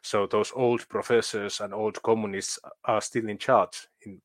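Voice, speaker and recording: Halting man, low voice. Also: level tone, dry recording. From Finland. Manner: halting, many fillers and restarts. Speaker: guest. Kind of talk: political interview.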